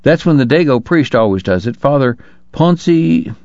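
Man voicing a chatty folksy frontier deacon, raised pitch. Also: expressive, normal speed, a little mellow, no echo, dull audio, natural voice. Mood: chatty, eager. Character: chatty, obliging male frontier deacon and hotelier.

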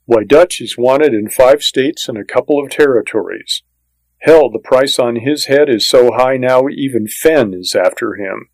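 A man's voice: mid-range voice, measured pace, a little mellow, no echo, poor audio. A man voicing a gunslinger's eager, gossipy sidekick in a western audiobook, talking in a folksy, informal way. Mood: eager, boastful.

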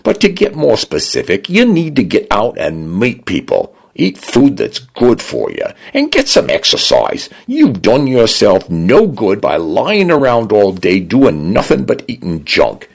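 A man voicing a talking smart home, a male voice with a British, Cockney-leaning accent, bright and friendly but bossy. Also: lowish voice, huge pitch swings, a little slow, vocal fry, few pauses, husky, voice pushed up, heavily acted. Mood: lecturing. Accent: Cockney-leaning British, put on.

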